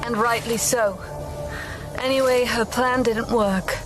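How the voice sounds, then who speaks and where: A woman's voice: on the high side, fairly even intonation, slow, over music, poor audio. A woman playing a serious, suspicious, determined female fighter in a video game cutscene, grave and matter-of-fact. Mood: grim, suspicious.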